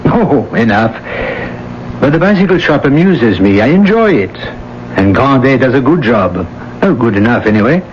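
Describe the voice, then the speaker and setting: An actor playing a suave, evasive middle-aged lover in a radio drama, condescendingly affectionate. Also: male voice, mid-range voice, very animated, normal speed, slight background noise, lightly acted. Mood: smooth, condescending. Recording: muffled radio recording with music.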